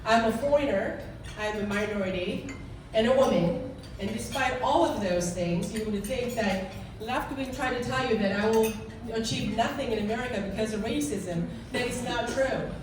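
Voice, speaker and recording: A woman's voice: fairly high pitch, a little hiss or hum. Korean-accented woman speaking in echoey hall. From South Korea. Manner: earnest, halting speech with restarts. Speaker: guest speaker. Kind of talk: speech to a club audience. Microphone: handheld mic. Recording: echoey room, recorded from far off.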